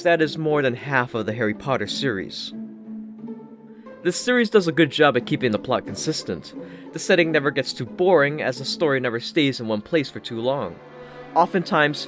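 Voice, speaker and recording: A man delivering scripted narration in a measured, theatrical storyteller manner, as the narrator of an audio story. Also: mid-range voice. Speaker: audiobook narrator and channel host. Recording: music behind the voice. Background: instrumental music.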